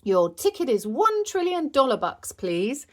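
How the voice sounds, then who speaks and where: A woman's voice: high voice, sing-song, measured pace, pitched above the performer's own voice. A woman voicing a cheery little dog playing a bossy train driver in a storybook, announcing things in a matey way. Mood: brisk.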